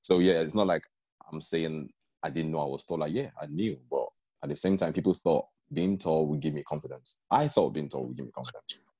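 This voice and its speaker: A young man with a very deep voice, telling personal stories in an earnest, conversational way and often asking "do you know what I mean". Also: lively intonation, fairly quick, creaky, warm tone, husky, no echo. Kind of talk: casual group chat.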